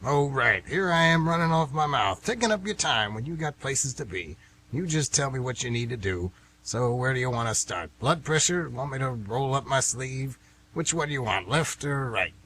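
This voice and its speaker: A man's voice: medium pitch, animated, moderate pace, bright, forceful tone, a little hiss or hum, poor audio. An actor playing a folksy, grumbling retired man who rambles and gets defensive, in a radio drama. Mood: folksy, chatty. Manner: rambling, folksy, plain-spoken.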